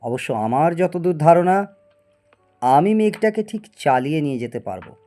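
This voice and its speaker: A man's voice: fairly high pitch, lively intonation, normal speed, mellow, faint music behind, close to an everyday voice. A man acting a proud, obsessive middle-aged scientist in a Bengali audio story, theatrical and prone to stammer when agitated. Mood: boastful, assured. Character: proud obsessive male scientist, boastful and stubborn.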